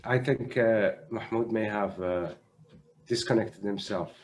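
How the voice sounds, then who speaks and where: A male doctor speaking as a panelist in an online discussion, explaining in a measured, halting way and often repeating words as he goes. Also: on the low side. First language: not French (French is third language). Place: online video conference.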